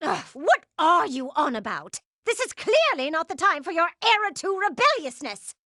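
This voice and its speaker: A woman's voice: extremely high pitch, sing-song, normal speed, sharp tone, full character voice. A woman playing a haughty, petulant female gem villain in a cartoon game. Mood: indignant. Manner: petulant, blaming, imperious. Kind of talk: animated game voice acting.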